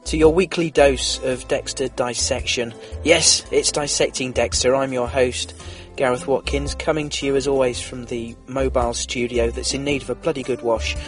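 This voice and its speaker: A man's voice: medium pitch, fairly even intonation, moderate pace, few pauses, soft background music. A middle-aged man hosting a casual solo podcast, chatty and rambling, slightly nasal from a cold. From England. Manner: chatty, rambling, frequent fillers and restarts. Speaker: podcast host. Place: small car-based mobile studio.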